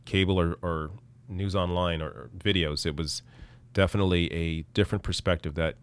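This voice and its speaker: A man in his thirties hosting a live political stream, reading chat and answering in a casual, halting way while losing his voice. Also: low voice, steady tone, clean tone. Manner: casual, halting, many restarts. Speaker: political show host. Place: small dark room, indoors. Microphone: studio mic on arm in front.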